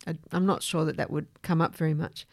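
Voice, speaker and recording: A woman with a fairly low voice and noticeable vocal fry talks as a podcast host. She explains in a measured, informal way, and the sound is dry with little room to it. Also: little pitch movement, no echo.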